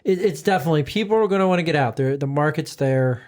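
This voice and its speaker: Upbeat rambling man, fairly high voice. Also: expressive, fast talker, darkish tone. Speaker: podcast co-host. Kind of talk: casual chat.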